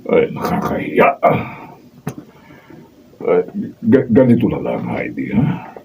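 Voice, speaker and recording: A man's voice: sits low, very animated, takes it slowly, vocal fry, soft background music, heavily acted. An actor playing an apologetic, kindly older father-in-law in a Filipino radio drama, hesitant and coaxing. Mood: embarrassed, reassuring. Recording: muffled, distant mic, faint noise.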